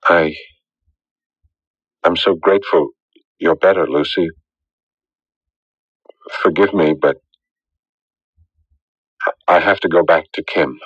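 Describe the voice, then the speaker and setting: An actor playing an earnest, conflicted fiancé in a radio drama, speaking gravely and haltingly. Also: male voice, low-pitched, fairly even intonation, a bit bright, dry recording, full character voice. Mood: apologetic. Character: earnest, conflicted fiancé named Stan, gentle and solemn. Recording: dull lo-fi old radio recording.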